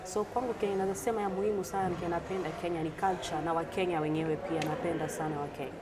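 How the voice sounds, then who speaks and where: A woman's voice: on the low side, steady tone, rapid-fire, a crowd in the background. A middle-aged woman from the Democratic Republic of the Congo, answering as a guest in a conversational interview and switching between languages. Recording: clearly noisy background ambience.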